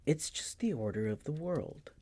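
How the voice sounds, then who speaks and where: A man playing a possessive, condescending vampire master in an intimate roleplay audio, teasing in a breathy, gravelly, often whispered voice. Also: on the high side, expressive, mellow. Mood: coolly disdainful. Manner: slow, teasing, condescending purr.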